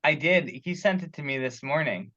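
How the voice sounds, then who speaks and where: A man's voice: fairly high pitch, normal speed, sharp tone, no room sound. Man on a muffled conference call. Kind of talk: casual technical discussion. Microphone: teleconference call.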